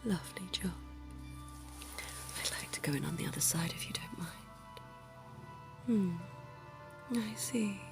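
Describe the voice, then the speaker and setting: A centuries-old vampire dentist, put on by a woman murmurs in a slow, intimate ASMR whisper. Her low voice has a gravelly, creaky texture and a genteel, teasing charm. Soft music plays underneath. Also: animated. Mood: soothing. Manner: genteel and teasing, quiet chuckles. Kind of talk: ASMR roleplay.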